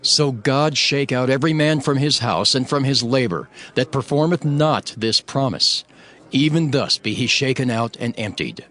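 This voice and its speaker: A man playing an earnest, stern governor, narrating his own account in a solemn, measured dramatized scripture reading. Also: mid-range voice, a bit bright, close to an everyday voice. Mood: stern rebuke. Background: background music.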